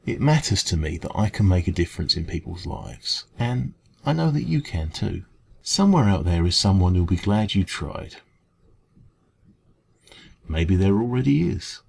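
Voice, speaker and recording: A man with an English accent playing a kind café dragon in an ASMR roleplay, talking gently and reassuringly in a creaky voice. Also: low voice, very animated, moderate pace, exaggerated voice. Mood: encouraging, gentle. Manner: gentle, reassuring, hesitant conversational encouragement. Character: kind, caring male dragon who runs a café. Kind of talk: ASMR roleplay, comforting one-to-one talk.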